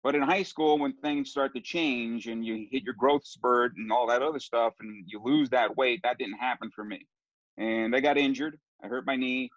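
A man's voice: medium pitch, normal speed, no echo. Rambling middle-aged man, dry muffled sound. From Southern California. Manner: rambling, with many restarts and fillers. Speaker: sports broadcaster guest. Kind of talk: casual interview storytelling.

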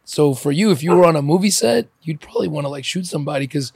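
A man from Ukraine hosting a casual interview, chatty and quick to interject with jokes. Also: fairly high pitch, animated, gravelly, a little mellow, slightly rough, no room sound, studio quality. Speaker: podcast host.

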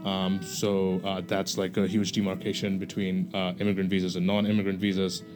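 A man's voice: deep voice, monotone, moderate pace, music in the background. A young man from Bangladesh, a podcast guest, explaining things casually with many hesitations and fillers.

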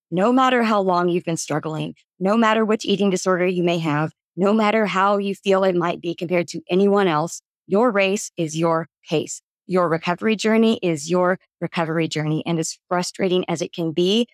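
A woman speaks calmly and reassuringly. Her voice is a little low, and the recording is dry. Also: no echo. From Kentucky, USA. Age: 30s. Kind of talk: recorded personal message.

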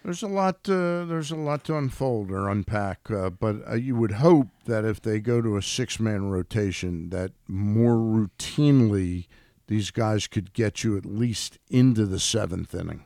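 A man chatting casually as a commentator on a sports radio show, with a halting, questioning way of talking. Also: lowish voice, creaky, warm tone, no room sound, clean studio sound. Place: radio studio.

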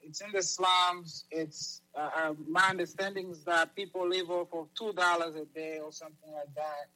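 A man from Zambia, a guest on a talk show, answering in a halting way with many restarts. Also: fairly high pitch, steady tone, sharp tone, poor audio. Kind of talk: interview answers.

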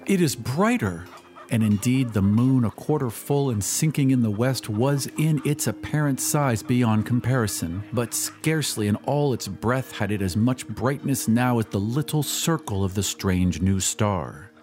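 A man's voice: mid-range voice, normal speed, hardly any pauses, a little mellow. A man giving a dramatic scripted narration of a story, as in an audio drama. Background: music.

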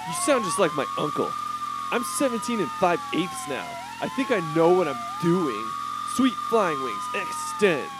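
A man's voice: high voice, huge pitch swings, normal speed, very noisy. A man voicing a cocky, spoiled, swaggering seventeen-year-old rich boy vigilante in a cartoon. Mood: defiant. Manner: swaggering, bratty. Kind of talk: cartoon voice acting.

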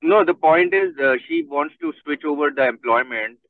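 A man's voice: fairly high pitch, wildly swooping pitch, moderate pace, gravelly, no room sound. A man calling in with questions, speaking over a phone connection. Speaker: caller. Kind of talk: asking questions on a conference call. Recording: tinny, dry phone line.

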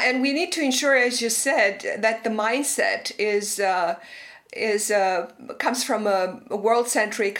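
A woman's voice: medium pitch, fairly bright tone. A woman from Romania hosting an interview and asking long, thoughtful questions, with plenty of fillers along the way. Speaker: podcast host.

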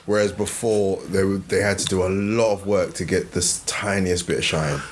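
A man in a casual podcast chat, speaking in a measured, thoughtful way and building up long points. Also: deep voice, fairly even intonation, background noise. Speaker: podcast co-host.

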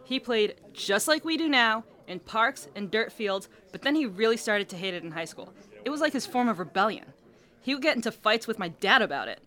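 A woman's voice: a little high, animated, brisk, sharp tone. A woman playing a feisty, stubborn young soccer supporter in an audio drama, blunt and combative. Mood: earnest, resentful.

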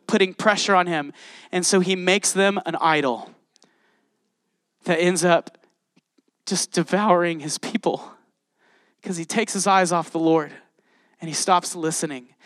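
A man with a high voice preaches a sermon in a church. He reads scripture aloud and turns to the congregation with passion. The sound is close and dry, with no room echo. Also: fairly bright tone. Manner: passionate, addresses congregation directly. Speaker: pastor. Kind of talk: sermon with Bible reading.

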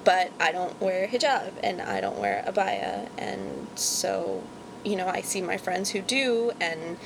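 A woman's voice: expressive, unhurried, vocal fry. A woman born in Pakistan, co-hosting a podcast and talking candidly and casually, often with a laugh. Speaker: podcast co-host. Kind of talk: casual conversation. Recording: noisy amateur recording with rumble.

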